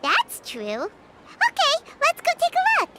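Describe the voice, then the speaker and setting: A woman voicing a tiny, excitable, helpful floating fairy girl in a video game, with a childlike manner. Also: very high voice, measured pace, heavy background noise, full character voice. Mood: pondering. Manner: childlike, talks of self in third person. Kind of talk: animated video game character acting.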